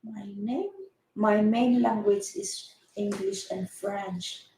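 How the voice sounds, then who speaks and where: A woman's voice: medium pitch, fairly even intonation, slow pace, warm tone, roomy sound, slight background noise, rough recording. A woman co-hosting a casual live-stream chat, giving short replies and greeting viewers by name. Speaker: co-host. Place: home in the Philippines.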